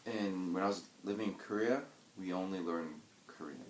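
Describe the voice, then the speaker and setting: A young man in his twenties with a very low voice, talking through his answers in a reflective, hesitant way. His voice bounces around a roomy space. Steady background noise sits under it. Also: normal speed. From United States. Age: 20s. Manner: reflective, hesitant, with fillers and restarts. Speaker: interviewee. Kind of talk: casual interview answers.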